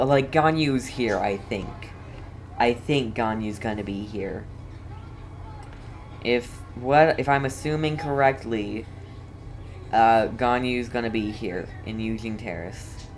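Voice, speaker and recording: A woman giving casual gameplay commentary as a streamer, rambling and questioning herself as she goes. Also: a bass voice. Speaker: game streamer. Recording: clearly noisy background.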